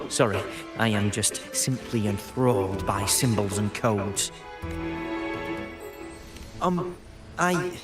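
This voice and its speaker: An actor playing an eccentric, absorbed male code enthusiast in a video game, musing hesitantly. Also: medium pitch. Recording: roomy sound with music behind.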